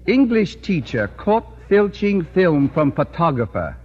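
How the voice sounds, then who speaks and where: A man's voice: on the high side, sing-song, unhurried, breathy edge, exaggerated voice. An actor playing a smug male photographer in a 1950s radio sitcom, gloating and chuckling, on a muffled old broadcast recording.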